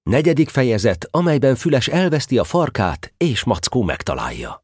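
A man narrating a children's story as a scripted, expressive storyteller. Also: medium pitch, breathy edge, no echo, clean studio sound.

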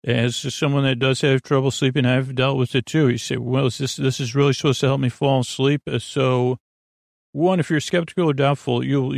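A man hosting a bedtime podcast in a rambling, halting monologue full of digressions, with a gravelly, creaky voice. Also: mid-range voice, no room sound, clean studio sound. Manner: meandering, halting, full of digressions and trailing thoughts. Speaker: podcast host.

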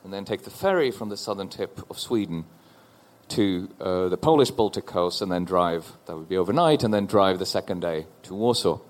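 Low-voiced Norwegian man telling a story. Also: expressive. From Norway. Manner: thoughtful, halting with repeated restarts. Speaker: panel guest. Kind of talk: reflective personal storytelling. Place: stage before a live audience.

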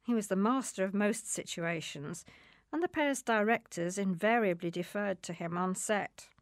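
A woman reads a literary memoir aloud at a measured, storytelling pace. Her voice sits at a middling pitch with plenty of creak, and the recording is close and dry. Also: no room sound. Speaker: reader. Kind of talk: scripted literary reading.

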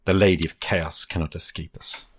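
A man's voice: deep voice, steady tone, moderate pace, slight background noise. A man playing a tabletop game, improvising story details casually in a thoughtful, hesitant way.

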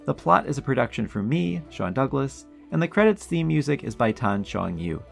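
A man's voice: medium pitch, sing-song, moderate pace, creaky, soft background music. An American man hosting a podcast, talking in a conversational way. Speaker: podcast host. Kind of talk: conversational interviewing.

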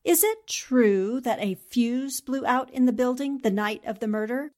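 An actress as a shrewd woman reporter in an old-time radio mystery. Her voice is fairly high, and she probes with formal, determined questions. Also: measured pace, a little mellow, lightly acted. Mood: probing. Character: determined, shrewd newspaperwoman sleuth, cool and probing. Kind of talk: 1940s radio drama acting.

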